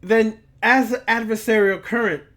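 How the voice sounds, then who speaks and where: A man's voice: sits high, fairly even intonation, normal speed, fairly bright tone. A man lecturing in an emphatic, preachy manner, speaking straight to the listener and repeating words and phrases for weight. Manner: preachy, admonishing, addresses listener directly. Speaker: occult lecturer and teacher. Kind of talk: impromptu sermon-like lecture to camera.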